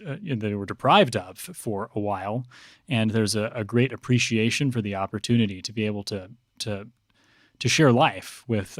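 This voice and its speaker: Guy priest being interviewed, thoughtful and halting, really dry close sound. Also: fairly low pitch, no room sound. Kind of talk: reflective interview conversation.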